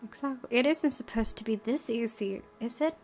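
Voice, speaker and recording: A woman's voice: on the high side, normal speed, a little mellow. A woman hosting a gaming video, switching between casual commentary and reading the game text aloud. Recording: game music behind the voice.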